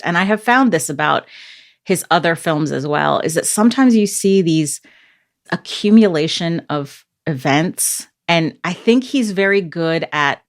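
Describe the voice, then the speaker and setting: Woman host, low voice, measured critic on a film panel. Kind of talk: casual discussion.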